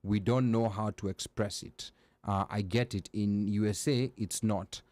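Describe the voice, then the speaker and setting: Chatty low-voiced male talk show host. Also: steady tone, unhurried, darkish tone, no room sound. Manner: reads viewer comments aloud, chatty, many fillers. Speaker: co-host and producer. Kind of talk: live talk show hosting.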